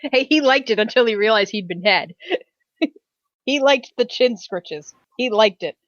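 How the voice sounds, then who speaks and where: High-pitched, unhurried woman, slightly muffled recording. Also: animated, no echo. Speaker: tabletop game player. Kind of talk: casual game chat.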